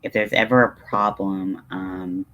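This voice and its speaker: Low voiced guy rambling on a livestream, slow and hesitant, kinda self-deprecating. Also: flat delivery, bright, forceful tone, rough recording. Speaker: livestream host. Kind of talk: casual rambling livestream chat.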